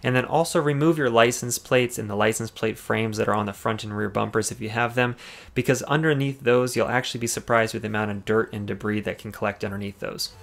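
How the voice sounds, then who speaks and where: A man's voice: on the low side, fairly even intonation, fast talker, gravelly, fairly bright tone. A man narrating a car detailing video as voice-over, explaining each cleaning step and giving tips. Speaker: car detailing channel host.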